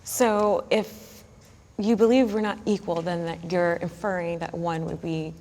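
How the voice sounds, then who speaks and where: A woman's voice: fairly low pitch, steady tone, unhurried, a little mellow, a little hiss or hum. A woman in her thirties who speaks directly and pointedly in a debate. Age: 30s. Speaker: debate participant. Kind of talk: casual debate.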